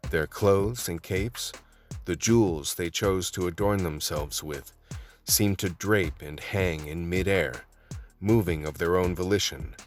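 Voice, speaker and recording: An actor playing a world-weary undercover vice cop, telling his story in a measured, hard-boiled way for a radio play. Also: low voice. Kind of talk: scripted radio play narration.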